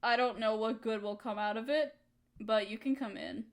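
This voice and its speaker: A woman's voice: fairly high pitch, normal speed, close to an everyday voice. A young woman acting a hurt, resentful friend in a dramatic audio roleplay, speaking in an emotional, accusing way. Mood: subdued, wary.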